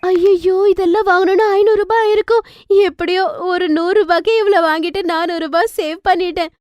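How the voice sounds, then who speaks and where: A woman acts out a stingy young mother from a cartoon moral tale. Her voice is very high and shrill, argumentative and full of exclamations. The sound is dry and close. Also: fairly even intonation, brisk, a little mellow, no echo. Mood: pleased. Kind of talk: dubbed cartoon moral story.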